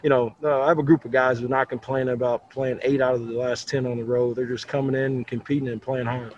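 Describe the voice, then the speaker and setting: Middle-aged male coach, halting postgame answers, slightly muffled webcam audio with faint arena hum. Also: medium pitch, flat delivery. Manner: plainspoken, repeats words, many you-knows. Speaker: head basketball coach. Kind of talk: postgame interview. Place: large indoor arena, masked.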